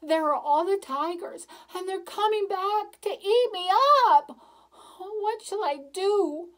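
A woman doing a squeaky little storybook boy. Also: a little slow. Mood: frightened. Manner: squeaky, pleading. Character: small frightened boy, storybook hero.

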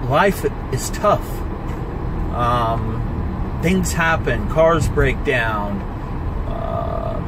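A middle-aged man giving a blunt, emphatic motivational monologue in short, deliberate phrases while driving. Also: mid-range voice. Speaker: life coach. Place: inside a moving car, driving. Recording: steady car and air-conditioning noise. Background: road and car ventilation noise.

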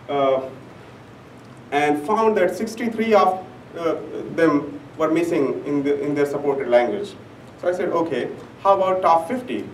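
A young man, a native Urdu speaker, presents at a conference in a fairly high voice, halting often with fillers. He is recorded from far off in an echoey room. A faint hum runs underneath. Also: a little mellow. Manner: halting, many fillers and restarts. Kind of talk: academic conference talk. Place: conference room, large and indoors. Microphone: room camera mic from far off.

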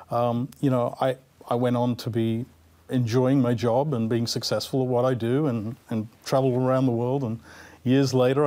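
A man's voice: mid-range voice, darkish tone. A man in his fifties on a studio panel, telling a personal story in a reflective way with a lapel mic. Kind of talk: panel discussion.

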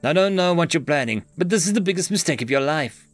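A woman's voice: low voice, animated, quick pace, no echo, soft background music, pitched above the performer's own voice. A man voicing a tough, wary female alien warlord who talks sharply and suspiciously. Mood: hostile.

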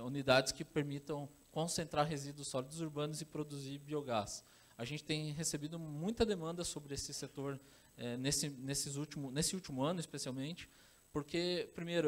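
A man in his thirties from Brazil giving a conference talk with a handheld microphone on stage, often pausing with fillers and restarting his sentences. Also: fairly high pitch. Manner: hesitant, many fillers and restarts. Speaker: conference speaker. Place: large conference hall on stage.

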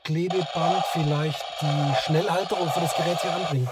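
Casual male radio hobbyist, fairly high voice, very noisy in-car sound. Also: fairly even intonation, normal speed. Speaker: amateur CB radio operator and vlogger. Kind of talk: casual chat and commentary while testing a radio. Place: inside a parked car in a forest. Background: loud noise, radio hiss.

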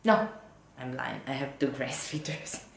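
A woman in her thirties with English as a second language, hosting a knitting podcast at home and sometimes halting to find her words. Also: very deep voice, level tone, a little hiss or hum. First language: not English, not Danish. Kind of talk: casual chat to camera. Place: living room at home, indoors. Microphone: camera or laptop mic at desk distance. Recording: roomy home echo.

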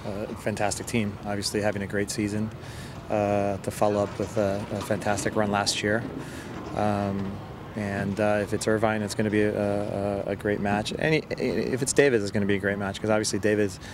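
A middle-aged man, a soccer coach, giving a post-match interview in a halting, measured way with repeated words, outdoors on a field. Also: on the low side, little pitch movement. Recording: outdoor field recording with loud ambient noise.

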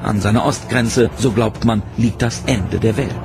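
Gravelly male documentary narrator. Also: on the low side, normal speed. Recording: narration over loud music.

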